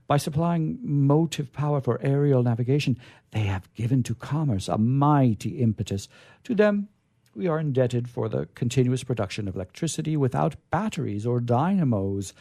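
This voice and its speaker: A man giving a dramatic story reading in a formal, oratorical manner, with measured, theatrical phrasing and emphatic pauses. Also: mid-range voice, creaky, mellow, no echo, clean studio sound. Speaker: reader at a literature reading. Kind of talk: dramatic reading of a vintage science fiction story.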